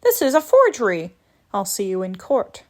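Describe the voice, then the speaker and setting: A woman's voice: mid-range voice, wildly swooping pitch, moderate pace. A woman reading aloud as a flustered, fussy cat owner, scolding in an exasperated, raised voice. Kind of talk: storybook read-aloud.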